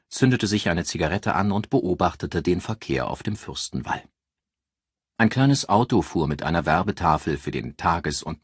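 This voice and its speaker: Low-pitched, animated male audiobook narrator. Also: husky, dry recording, clean studio sound. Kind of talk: scripted narration of a crime novel, voicing dialogue.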